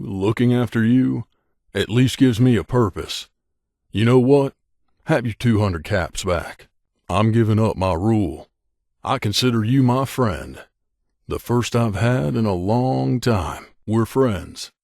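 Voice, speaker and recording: Low-voiced, earnest wasteland companion played by a man. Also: darkish tone, no echo, clean studio sound. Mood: grateful, sincere. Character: troubled male wasteland companion haunted by guilt. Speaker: video game companion character. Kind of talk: video game voice acting, dramatic dialogue.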